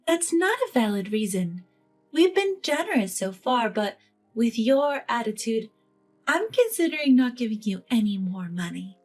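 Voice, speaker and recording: Woman as a firm, scolding mother-in-law, high voice. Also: sing-song, normal speed, darkish tone, faint music behind. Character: stern, exasperated older mother-in-law lecturing the bride. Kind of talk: dramatized online family story.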